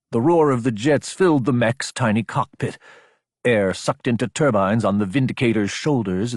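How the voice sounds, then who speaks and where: A man's voice: mid-range voice, dry recording. Male audiobook narrator, dry close sound. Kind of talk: dramatic scripted narration of action fiction.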